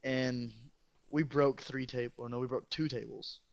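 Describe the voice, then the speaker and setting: A teenage boy, a high school football player, telling stories in a casual, profane and boastful way. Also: steady tone, normal speed, gravelly, no echo. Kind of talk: casual storytelling chat. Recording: dull lo-fi sound.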